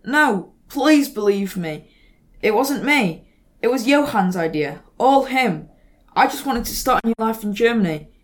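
A woman's voice: fairly high pitch, animated, measured pace. A young woman playing an anxious, whiny male accomplice to a thief in an amateur audio drama. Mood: pleading.

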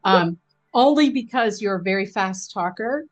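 A woman's voice: medium pitch, expressive, normal speed. A woman from the US East Coast with an American accent, interviewing conversationally as a podcast host, chatty and encouraging.

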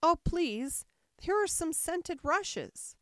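A woman's voice: a little high, very animated, moderate pace, dry recording, clean studio sound. A woman voicing a polite, curious, dreamy little girl in a storybook, earnest and wistful. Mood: eager, pleading. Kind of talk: storybook character voice.

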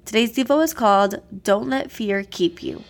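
A woman's voice: mid-range voice, expressive, normal speed, gravelly. Congested American woman hosting a devotional. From Miami, Florida, USA. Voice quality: slightly nasal, congested. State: stuffy, congested nose. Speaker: devotional podcast host. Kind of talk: conversational devotional talk and prayer. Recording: music bed behind the voice. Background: background music.

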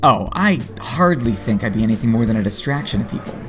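A man's voice: mid-range voice, lively intonation, fast, fairly bright tone, close to an everyday voice. An actor playing a rich, sardonic yet earnest man in his late thirties in an audio drama. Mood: wry. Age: 30s. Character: rich, sardonic, earnest middle-aged male vigilante heir. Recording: long hall reverb, faint music behind.

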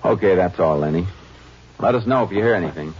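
An actor playing a calm, steady Texas Ranger in an old radio drama, speaking in a level, firm and probing way. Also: male voice, deep voice, fast. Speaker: lead and narrator of the drama. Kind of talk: 1950s radio drama acting. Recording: muffled old radio broadcast with hiss.

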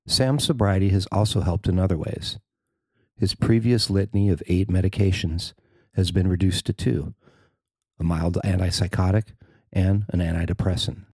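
A man's voice: low voice, dark tone, husky, dry recording. A man narrating a scripted news feature as its writer and reader.

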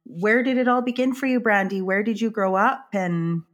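A woman's voice: medium pitch, normal speed. Woman hosting a podcast, gushy intro, asks her guest questions. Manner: warm, effusive introductions. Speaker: podcast host. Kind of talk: interview hosting.

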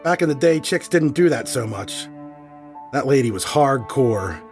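A laid-back rocker guitarist, voiced by a man talks in crude, excitable slang at an ordinary pitch. Faint music plays behind the scene. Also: unhurried, lightly acted. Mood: excited.

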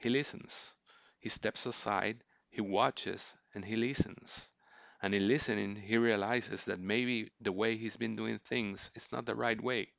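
A man gives a scripted film review as host, in a measured, earnest way. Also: on the low side, creaky, a bit bright, dry recording. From Puerto Rico.

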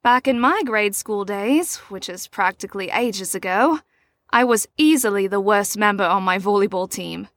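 A woman's voice: medium pitch, moderate pace, a bit bright, dry recording, close to an everyday voice. An actress playing a genteel older woman chaperone in an audio drama, sweet and theatrical with a faint menace. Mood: confiding.

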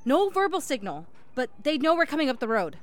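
A woman's voice: high voice, very animated, fairly quick. A woman acting in an audio drama as a resourceful, reassuring hiker who rambles and swears under pressure. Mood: urgent planning. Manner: naturalistic, hesitant rambling.

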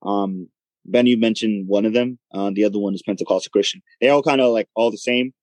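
A man's voice: lowish voice, a little fast, darkish tone, dry recording, poor audio. A man guest in a casual chat, speaking hesitantly with many ums.

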